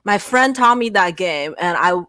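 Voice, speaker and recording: Bright dry-sounding woman, English not her first language. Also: medium pitch, normal speed, dry recording. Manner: lively, laughing, self-deprecating. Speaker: guest content creator. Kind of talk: casual livestream chat.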